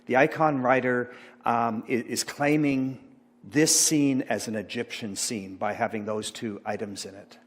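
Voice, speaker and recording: Halting middle-aged man preaching in a hall. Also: mid-range voice, fairly even intonation. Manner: rambling, halting, many fillers and restarts. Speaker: pastor. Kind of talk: informal sermon talk about slides. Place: church sanctuary. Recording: long church hall reverb.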